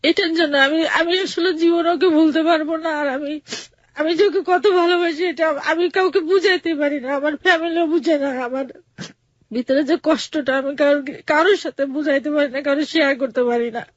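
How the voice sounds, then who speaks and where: A Bangladeshi woman tells her own story in a high voice. She sounds plaintive and halting, repeating words in everyday colloquial speech. The audio is dull and very dry. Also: steady tone, no echo. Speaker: interview guest telling her life story. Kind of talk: personal account in colloquial speech.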